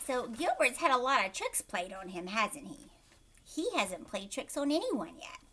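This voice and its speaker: A woman teacher reading a picture book aloud to children, expressively, pausing to explain and ask them questions. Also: on the high side. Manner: expressive, asks young listeners questions.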